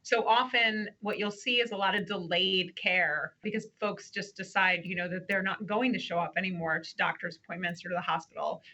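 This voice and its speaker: A woman's voice: fairly low pitch, brisk, hardly any pauses, bright, forceful tone, rough recording. A woman newspaper reporter explaining her reporting in a remote news interview, with frequent "you know" fillers.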